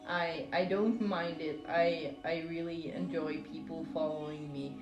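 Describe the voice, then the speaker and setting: A woman's voice: deep voice, steady tone, unhurried. A woman from Norway, chatting casually to live stream viewers in a hesitant, rambling, playful way. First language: Norwegian. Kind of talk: casual chat with stream viewers while crocheting. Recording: roomy echo with music behind. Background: music.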